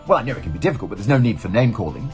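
Lively, cheeky showbiz agent. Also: male voice, fairly low pitch, racing, music in the background. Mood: brisk, cheeky. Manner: mock-formal, flippant. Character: brisk, money-grubbing showbiz agent, smooth and cheeky. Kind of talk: comic pantomime acting.

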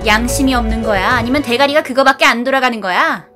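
A woman's voice: on the high side, quick pace, music in the background, close to an everyday voice. A woman voicing a blunt, scornful young woman who swears and talks down to others. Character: harsh, foul-mouthed young woman.

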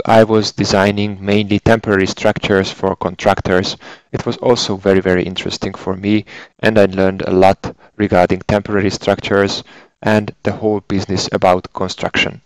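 A man in his forties with a Hungarian accent delivers scripted instructional narration as a trainer. His voice sits fairly low. He explains things methodically, recorded close and very dry with no room sound. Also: no echo, rough recording. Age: 40s. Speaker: software trainer and consultant engineer.

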